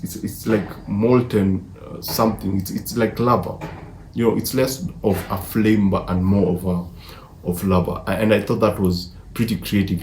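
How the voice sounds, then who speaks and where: A man's voice: lowish voice, a little mellow. A man giving a casual movie review in an enthusiastic, emphatic way, often repeating words mid-sentence. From Africa. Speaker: film reviewer. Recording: echoey room, faint background noise.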